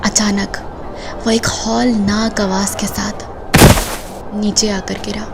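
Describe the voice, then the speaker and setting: A woman's voice: mid-range voice, flat delivery, takes it slowly, creaky, very noisy. A woman narrating a scripted horror story in a suspenseful, dramatic manner.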